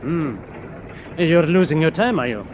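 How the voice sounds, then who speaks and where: Faint ship noise sits behind a Norwegian naval officer in a radio drama. His voice is a little high, formal and measured, courteous while quietly inquisitive. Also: animated. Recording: noisy audio with ship ambience behind.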